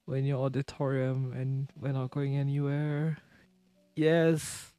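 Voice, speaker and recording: Mellow, slow-talking male game streamer. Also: mid-range voice, completely flat delivery, smooth, no room sound, soft background music. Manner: sympathetic, talks back to the game characters. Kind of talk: casual live-stream reaction.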